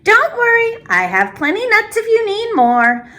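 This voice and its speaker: A doting little old grandmother from a storybook, chatty and motherly as she fusses over her guest, put on by a woman reading aloud. Her voice sits high and swoops up and down in a bright, clear tone. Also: wildly swooping pitch, a little slow, exaggerated voice. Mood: fussing. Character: kindly fussing old grandmother, small and warm-hearted.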